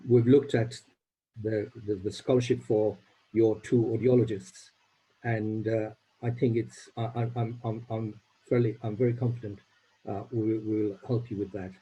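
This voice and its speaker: A man from Uganda, a native Swahili speaker, speaking as a charity trustee on a video call, halting and repeating words as he goes. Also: fairly low pitch, dark tone, breathy edge, rough recording. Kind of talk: informal remarks on a video call meeting.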